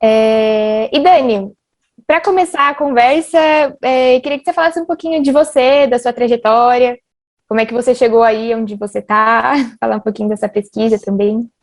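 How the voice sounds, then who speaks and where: Informal Brazilian woman host, highish voice. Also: normal speed. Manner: informal, friendly. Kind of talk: casual online interview.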